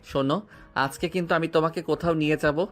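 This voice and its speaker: A man playing a tender, persistent young student in love, speaking softly and earnestly in an audio drama. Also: a little high, fairly even intonation, fairly quick, soft background music, lightly acted. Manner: soft, earnest, romantic delivery.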